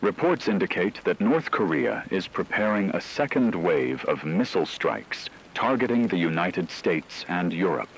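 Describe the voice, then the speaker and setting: A man playing a solemn radio anchor, reading a scripted emergency war bulletin in a measured, formal way. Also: low voice, animated, slight background noise, low-quality recording. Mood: grave, urgent. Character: grave male radio anchor reporting a world war. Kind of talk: scripted emergency news broadcast.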